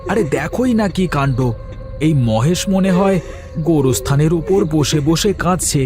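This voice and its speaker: A man acts out an anxious village husband from a cartoon ghost tale. His voice sits high, and he speaks in agitated exclamations, repeating short urgings. Prominent music plays behind him. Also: animated, moderate pace, lightly acted. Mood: insistent. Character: anxious village husband, curious, easily terrified. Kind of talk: animated horror cartoon dialogue.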